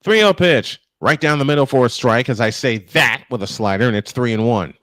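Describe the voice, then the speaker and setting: A man working as host and play-by-play commentator, calling baseball and bantering casually between pitches. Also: brisk, fairly bright tone, no room sound.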